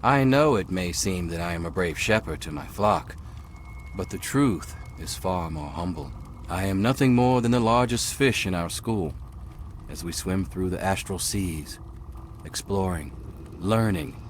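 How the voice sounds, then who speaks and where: An actor voicing a serene, devout male cult leader in a video game, soft-spoken and formal. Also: low-pitched, a little hiss or hum.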